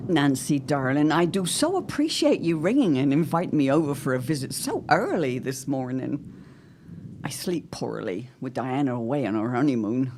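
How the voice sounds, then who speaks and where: A woman's voice: rumbling low, very animated, moderate pace, darkish tone, slight background noise, heavily acted. A woman playing a witty, protective middle-aged mother of the 1910s, genteel and wry, in a period audio drama. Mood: gracious. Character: arch, witty, protective society mother in 1917.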